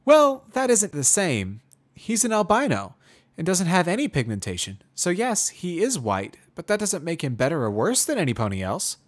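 A man's voice: on the high side, wildly swooping pitch, normal speed, voice pushed up. A man voicing a polite, inquisitive female pony in a storybook reading, with a lilting, emphatic manner. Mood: explaining, surprised.

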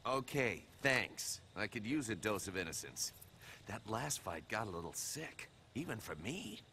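A man's voice: lowish voice, very animated, measured pace, sharp tone, slight background noise, heavily acted. A man voicing a cocky, bemused punk assassin hero in a video game, with a wry, casual delivery. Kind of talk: video game cutscene acting. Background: music.

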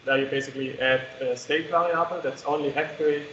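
A man in the audience asking a measured, precise technical question. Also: a little high, steady tone, sharp tone, roomy sound, highs cut off, slight background noise.

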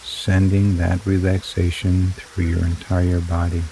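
A man guiding a relaxation meditation in slow, soothing, drawn-out phrases. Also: low-pitched, monotone, background noise. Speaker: meditation guide. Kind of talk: guided meditation narration.

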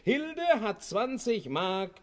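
An actor playing a stingy, domineering husband with a Berlin accent in a radio play, blustering and sarcastic. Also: male voice, high voice, sing-song, slow pace, exaggerated voice. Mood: gruff, dismissive. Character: stingy, gruff husband refusing insurance, domineering. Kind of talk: 1960s radio play acting.

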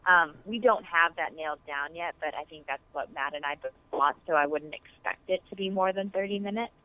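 A woman's voice: low-pitched, a little fast, dry recording. A woman speaking as a client in a casual, polite phone conversation, heard through a narrow telephone line. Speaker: client planning a wedding. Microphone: phone call.

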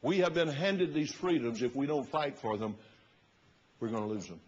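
Male pastor, 60s, husky, emphatic pulpit oratory, muffled recording in a reverberant sanctuary. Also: expressive, normal speed. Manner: emphatic, oratorical, rising to loud bursts and dropping low. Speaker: pastor preaching. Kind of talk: fiery sermon. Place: large church sanctuary, at the pulpit platform.

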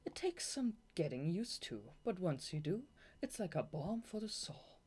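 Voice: medium pitch, sing-song, normal speed, darkish tone, slightly rough. Young amateur audiobook reader, native German speaker. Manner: giggly, gushing fangirl asides between read passages. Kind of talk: amateur audiobook narration with excited asides.

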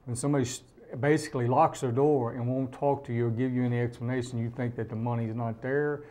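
A man in his fifties giving plain-spoken, halting interview answers as a business owner, on a lapel mic in a small office. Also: medium pitch, fairly even intonation, dark tone.

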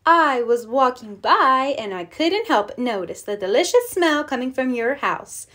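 A woman's voice: sits high, lively intonation, measured pace, sharp tone, voice pushed up, heavily acted. A woman voicing a polite, hopeful female fox with a sing-song, coaxing manner in a children's storybook. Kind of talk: children's storybook read-aloud.

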